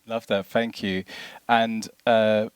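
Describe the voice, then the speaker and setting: A man's voice: fairly low pitch, flat delivery, takes it slowly, smooth. A man with a British accent hosting a live interview, chatty and informal, putting questions to a guest. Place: live event with an audience.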